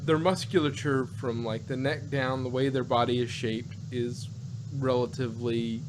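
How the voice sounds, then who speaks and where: A man in casual, rambling conversation on a noisy home recording with a hum behind him. He talks haltingly, often doubling back on his words, at an average pitch. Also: fairly even intonation. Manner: rambling, halting, repeats and restarts phrases. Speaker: podcast co-host. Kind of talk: casual chat, reviewing sci-fi.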